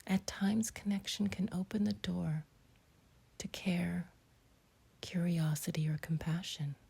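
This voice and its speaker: A woman guides a meditation in a very husky, breathy voice that sits close to a whisper. Her tone is mellow and on the low side, with a creaky edge. She delivers short, gentle phrases and leaves long pauses between them. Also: fairly even intonation.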